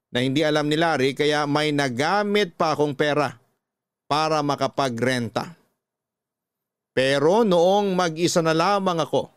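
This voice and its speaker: A man's voice: fairly high pitch, no echo, studio quality. A man with a Filipino accent earnestly reading a first-person confessional story as scripted radio drama narration.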